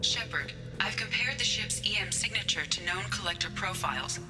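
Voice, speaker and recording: A woman playing a calm, analytical shipboard artificial intelligence in a video game, speaking in a measured, formal way. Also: mid-range voice, natural voice. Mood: clinical, composed. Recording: loud music over the voice.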